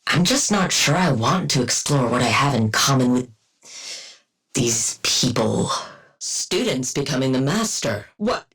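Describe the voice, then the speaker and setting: Icy villainess scientist, pompous, dry wit, precise diction, expressive pitch, video game character. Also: female voice, unhurried, slightly rough, full character voice, rough recording. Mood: cool, dry. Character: haughty, clinical female supervillain scientist with icy wit. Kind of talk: scripted game character voice lines.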